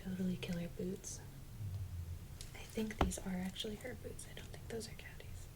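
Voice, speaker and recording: A young adult woman speaking in a soft, breathy, often near-whispered voice, chatting casually and slangily as an ASMR host. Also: mid-range voice, steady tone, moderate pace. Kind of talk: soft-spoken ASMR doll unboxing, casual chat. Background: loud noise, clicks and crinkles of unboxing.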